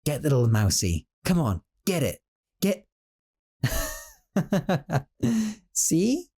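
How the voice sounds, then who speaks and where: A doting young sorcerer roommate, as a man plays him, soft-spoken and a bit flustered, his mellow voice rising and falling in lively swings. He talks gently and fondly in an audio roleplay. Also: on the high side, heavily acted.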